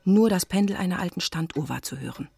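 A woman with a husky voice narrating a scripted children's radio play as storyteller. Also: on the low side, animated, quick pace, mellow.

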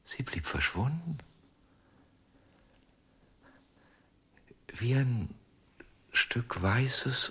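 An actor playing a haunted, obsessive ventriloquist in a German radio drama, speaking hesitantly with many pauses. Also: male voice, on the low side, a bit bright, breathy edge, full character voice. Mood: wistful. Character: haunted, obsessive male ventriloquist, middle-aged. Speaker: ventriloquist under questioning.

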